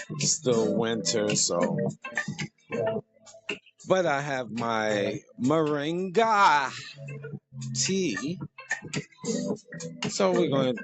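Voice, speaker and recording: A man hosting his podcast with a slightly hoarse voice, talking casually and repeating contact details in an easygoing, rambling way. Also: mid-range voice, fairly bright tone. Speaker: podcast host. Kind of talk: casual podcast talk with sponsor plugs.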